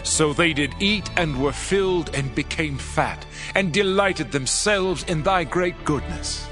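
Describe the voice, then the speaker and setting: A man acting a higher-pitched, solemn praying elder. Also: close to an everyday voice. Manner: formal, measured. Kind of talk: dramatized scripture reading. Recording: studio reading under prominent music.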